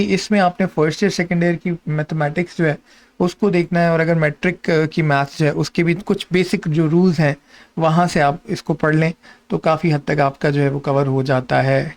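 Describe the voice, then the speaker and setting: A man with a Pakistani accent giving an informal, instructive explainer, mixing in many English terms as he walks through details. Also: on the high side. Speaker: host of an exam and job guidance channel.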